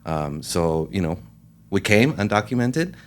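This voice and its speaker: A man born in Mexico, a guest in a casual interview, telling his story in a relaxed way and laughing easily. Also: low-pitched, measured pace, a little hiss or hum.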